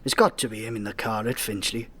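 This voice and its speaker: An actor playing a cheeky, sardonic police detective sidekick in a comedy radio play. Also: male voice, on the low side, fairly even intonation, fast, a bit bright. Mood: cheeky, curious.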